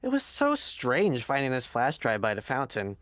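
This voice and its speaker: A woman's voice: rumbling low, huge pitch swings, normal speed, fairly bright tone, no room sound, studio quality, exaggerated voice. A woman playing an earnest, literal-minded park ranger in a comedy audio drama, polite, pedantic and easily flustered. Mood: polite, curious.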